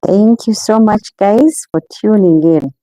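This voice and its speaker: A woman's voice: medium pitch, unhurried, no room sound, poor audio. A woman from Uganda, hosting a casual live-stream podcast and greeting viewers in a chatty, welcoming way. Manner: warm welcoming, chatty, talks straight to viewers. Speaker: podcast host.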